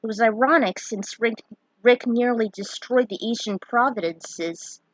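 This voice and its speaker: Woman reading her fanfic out loud, kinda dramatic, chips in opinions, no echo at all. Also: a little high, unhurried. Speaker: narrator. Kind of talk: reading a fan fiction story aloud with asides.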